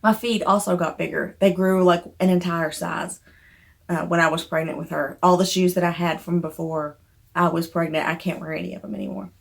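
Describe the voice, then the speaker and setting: A woman's voice: lowish voice, fairly even intonation, a little fast, vocal fry. A woman in her thirties with a Southern accent and a mild trouble with the letter R, chatting casually to the camera in a rambling, self-deprecating way. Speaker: novelist vlogger.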